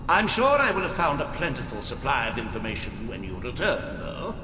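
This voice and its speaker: An actor playing a cowardly, self-serving official in a comic video game role, pompous, florid and fussy, in an echoey hall. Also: male voice, medium pitch, very animated, brisk, background noise, natural voice. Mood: smug, fussy.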